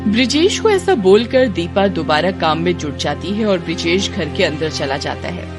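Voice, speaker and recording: A woman's voice: fairly low pitch, very animated, moderate pace, music in the background. A woman narrator reading a scripted story for children.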